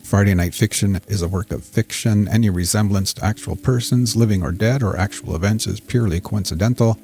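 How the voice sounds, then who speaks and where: Male fiction podcast narrator: dark, mellow tone, lowish register, measured scripted read. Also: creaky.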